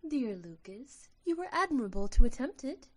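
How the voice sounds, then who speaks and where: A woman voicing a tiny, solemn, tender female sylph in an audio drama, with soft breathy delivery and formal, poetic phrasing. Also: on the high side, sing-song, normal speed, voice pushed up.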